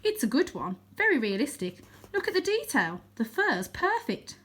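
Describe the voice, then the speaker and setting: A woman voicing a brusque, hot-tempered female cat in a children's storybook, sharp and scornful. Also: high voice, big pitch swings, normal speed, pitched above the performer's own voice. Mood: scornful, impatient. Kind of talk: children's storybook character reading.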